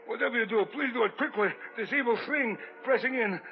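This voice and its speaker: A man's voice: extremely high pitch, a little fast, faint music behind. An actor playing a terrified scientist pleading for his life in an old radio horror drama, his voice strained and desperate. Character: terrified male scientist pinned and suffocating by evil force. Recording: muffled, narrow-band vintage radio recording.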